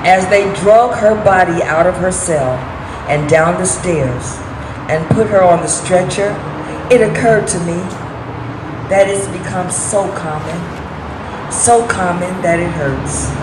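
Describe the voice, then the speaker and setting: A woman performing a dramatic monologue as a weary, grieving prisoner bearing witness in a deliberate, heartfelt way. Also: on the low side, close to an everyday voice. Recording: echoey room with background noise.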